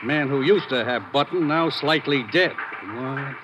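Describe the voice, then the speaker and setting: An actor playing a gruff American intelligence chief in 1950s radio drama, reading out telegrams in a clipped manner. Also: male voice, mid-range voice, measured pace, muffled recording, background noise, natural voice. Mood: brisk, dry.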